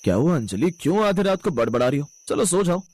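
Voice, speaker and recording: Man acting a lively, stubborn family man. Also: fairly high pitch, fast, breathy edge. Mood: dismissive. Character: matter-of-fact husband and in-law, stubborn about habits. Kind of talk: animated storybook dialogue.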